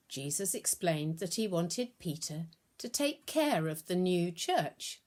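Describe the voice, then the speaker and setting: A lower-voiced woman reads a scripted children's Bible story. She takes her time, speaking slowly in simple phrases with deliberate, expressive delivery. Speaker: storyteller and prayer leader.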